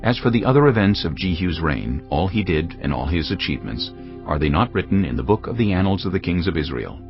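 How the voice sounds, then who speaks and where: A man narrating scripture in a steady, measured reading for a dramatized audio Bible. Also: deep voice, muffled recording, faint music behind.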